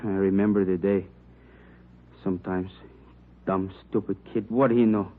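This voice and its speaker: An actor playing an anxious, talkative grocer in an old-time radio drama, speaking emotionally in broken phrases. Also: male voice, deep voice, fairly even intonation, moderate pace. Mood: pained. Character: anxious, talkative grocer brother with painful memories. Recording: muffled narrow-band vintage radio recording.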